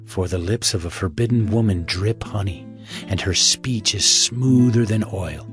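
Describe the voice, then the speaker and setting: A man playing an earnest father giving solemn, measured counsel to his sons in a dramatized scripture reading. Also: lowish voice, lightly acted. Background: background music.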